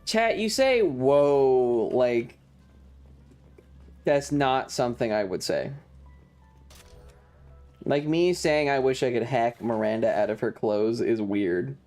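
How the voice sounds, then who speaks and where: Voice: mid-range voice, lively intonation, slow, long pauses, a little mellow. A sarcastic, foul-mouthed streamer with a mid-range voice. The talk is casual game commentary aimed at chat, close on the mic, with faint music behind. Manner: profane, sarcastic.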